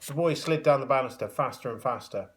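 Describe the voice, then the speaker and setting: A man reading a children's story aloud like a schoolteacher, with expressive, dramatic emphasis. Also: medium pitch, fast. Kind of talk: animated children's storybook narration.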